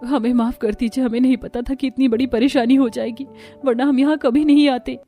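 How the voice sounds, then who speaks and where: A woman acting an expressive, chatty young village daughter-in-law in a cartoon story. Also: sits high, a little fast, faint music behind. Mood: apologetic.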